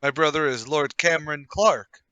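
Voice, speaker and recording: An actor playing a brisk, self-assured, well-to-do gentleman, emphatic and a little patronising, in a table read of a period murder mystery. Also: on the high side, clear, resonant tone, no echo. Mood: confident, commanding.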